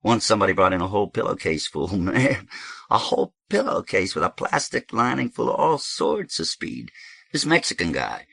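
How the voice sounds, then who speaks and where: Man voicing a gravelly, easygoing tattooed stoner. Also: fairly low pitch, very animated, normal speed, bright, forceful tone, dry recording, pitched above the performer's own voice, full character voice. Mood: chatty, amused. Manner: colloquial, slangy, emphatic, easygoing. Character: tattooed, friendly, rambling man, seasoned drug user. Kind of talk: audiobook character dialogue.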